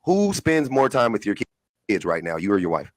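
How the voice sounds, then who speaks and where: Male host, low voice, rapid pushy questioning, dry mic. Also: very animated, no room sound. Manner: blunt, pressing questions. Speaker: live stream host.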